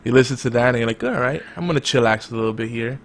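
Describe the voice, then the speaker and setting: An energetic male podcast host chatting casually with his co-host. His voice sits at an ordinary pitch, and he keeps the talk loud and lively. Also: rapid-fire, vocal fry. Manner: energetic, self-described loud and hype. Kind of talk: casual chat.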